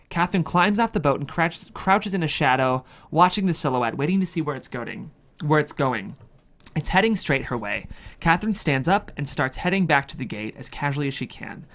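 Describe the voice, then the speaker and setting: A narrator delivers scripted stage directions from a thriller screenplay. The voice sits at a middling pitch and carries the action as dramatic narration. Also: fairly bright tone.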